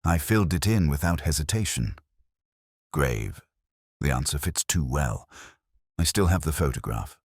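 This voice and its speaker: A man narrating a first-person detective story in a measured, literary, brooding manner. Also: extremely low pitch, lively intonation, breathy edge, no echo, clean studio sound.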